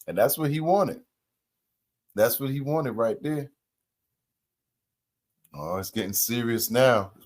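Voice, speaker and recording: A man's voice: medium pitch, lively intonation, slow pace, long pauses, a little mellow. A man hosting a reaction video in casual, slangy chat, repeating phrases for emphasis.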